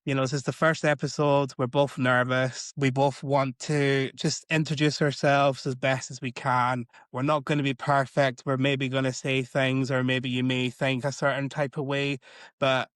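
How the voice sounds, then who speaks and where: A man's voice: mid-range voice, little pitch movement, moderate pace, fairly bright tone, no room sound, clean studio sound. A man co-hosting a podcast in a chatty, rambling way, filling his sentences with "like". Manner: chatty, rambling with many likes. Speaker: podcast co-host. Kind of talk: casual chat.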